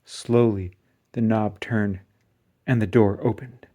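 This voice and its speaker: A storybook reader giving an expressive children's read-aloud narration. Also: medium pitch, takes it slowly.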